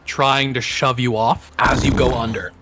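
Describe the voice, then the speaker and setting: Man, game master, improvised tabletop narration, conversational, medium pitch. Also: little pitch movement, normal speed, music in the background.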